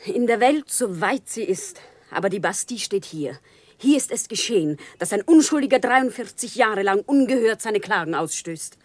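Schauspielerin als leidenschaftliche Bittstellerin aus dem Volk, trockener Klang. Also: female voice, mid-range voice, animated, moderate pace, dry recording, natural voice. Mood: solemn, urgent. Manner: fervent, solemn, declamatory. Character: impassioned naive commoner woman pleading for a prisoner. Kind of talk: German radio play, theatrical stage diction.

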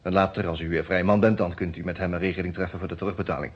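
An actor in a Dutch radio detective drama playing a composed, businesslike defence lawyer with a measured, formal manner. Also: male voice, low voice, flat delivery, slightly rough. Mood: businesslike, reassuring. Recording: muffled old radio play recording.

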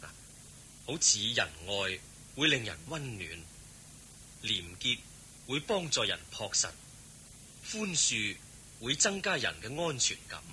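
An actor playing a serene, kindly holy teacher in a Hong Kong Cantonese radio drama, speaking in a composed, gently instructive way. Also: male voice, medium pitch, big pitch swings, takes it slowly, pauses often, slight background noise, exaggerated voice.

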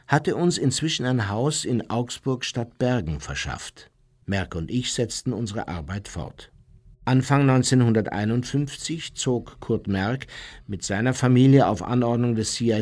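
An unrepentant male war criminal in a radio drama. His mid-pitched voice is husky, and he recounts his past in measured, formal sentences without emotion. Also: a little mellow. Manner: measured, matter-of-fact, formal. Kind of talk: radio play first-person memoir narration.

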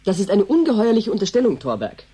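An indignant lady scientist in a radio play, dark voice, swoopy pitch, formal and emphatic. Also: huge pitch swings, fast talker, breathy edge, exaggerated voice. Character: Dr. Seltak, indignant, defensive female scientist. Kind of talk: German radio drama acting.

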